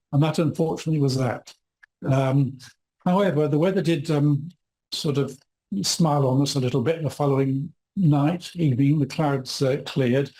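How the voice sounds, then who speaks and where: A man with a slightly hoarse voice from a cold, giving a formal welcome and introducing the evening's speaker, with frequent fillers. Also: on the high side, dark tone, poor audio. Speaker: event host introducing the speaker.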